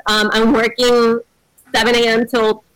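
A woman's voice: on the high side, completely flat delivery, unhurried, fairly bright tone, clean tone, no room sound, poor audio. A woman podcast co-host chatting casually on a remote call, hesitant with many fillers. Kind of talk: casual remote interview chat. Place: Miami area, remote call.

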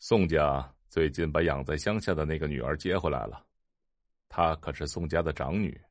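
A contemptuous, calculating heir in an audio drama. His voice is very low and closely recorded with a dry sound, and he sneers with cool, unhurried disdain. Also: dry recording. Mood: sly, matter-of-fact. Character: cold, contemptuous, calculating rich husband and heir.

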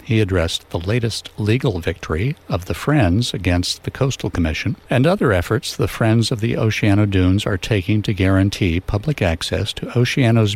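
An older man with a low, gravelly voice hosts a talk radio show. He speaks in a measured, deliberate way, like someone announcing and interviewing. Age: 60s or older. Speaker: radio show host and lawyer.

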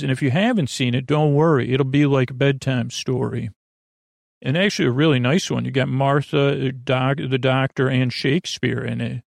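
A man hosting a bedtime podcast in a gentle, reassuring, conversational monologue that meanders, halts and interrupts itself. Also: medium pitch, gravelly, no echo, studio quality. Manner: meandering, halting, self-interrupting sentences that trail off. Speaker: podcast host.

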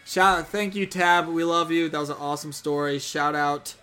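A man co-hosting a casual podcast, reading listener messages aloud with upbeat shout-outs and easy laughter. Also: fairly high pitch, little pitch movement, normal speed, clean tone. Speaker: podcast co-host.

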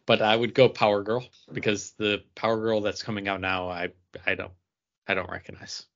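A man's voice: on the low side, flat delivery, normal speed, fairly bright tone, lo-fi sound. A man co-hosting a podcast in loose, casual conversation with the panel. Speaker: comics podcast co-host.

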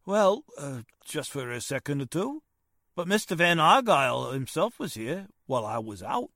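A man's voice: a little high, very animated, normal speed, fairly bright tone, no echo, clean studio sound, full character voice. Man acting a blustering, swooping private detective. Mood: flustered, defensive. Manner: rough, ungrammatical, streetwise talk. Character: blustering, uneducated male private detective, suspicious tough guy. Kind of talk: 1940s radio drama acting.

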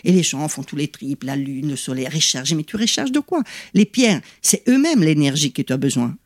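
A woman's voice: low voice, fairly quick, no room sound. Italian-accented woman explaining in a dry room. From Italy. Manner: animated and explanatory, frequent "tu vois" asides and restarts. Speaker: guest, lithotherapist. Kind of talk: conversational interview explanation.

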